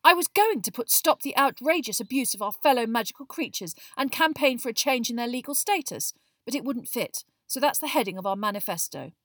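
A woman voicing an earnest, bossy teenage schoolgirl in audiobook dialogue, with a brisk, insistent lecturing manner. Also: medium pitch, lively intonation, dry recording, studio quality. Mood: heated.

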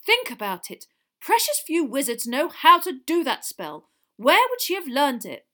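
A woman's voice: medium pitch, lively intonation, moderate pace, close to an everyday voice. A woman voicing a reasonable, protective male wizard, firm and reasoning, in a storybook reading.

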